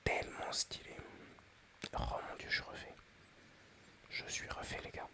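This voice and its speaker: A man whispering ASMR-style commentary while playing a mobile game, chatty and excitable, addressing his viewers. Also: unhurried.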